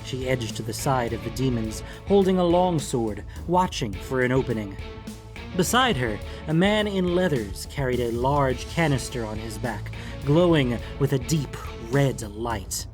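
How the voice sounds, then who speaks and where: A man's voice: mid-range voice, animated, unhurried, music in the background. A man reading scripted, dramatic fantasy narration as an audiobook narrator.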